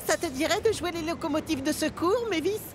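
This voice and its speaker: A woman's voice: extremely high pitch, lively intonation, brisk, slight background noise. A woman voicing a plucky young male tank engine in an earnest, boyish cartoon manner. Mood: friendly. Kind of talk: children's cartoon dub acting.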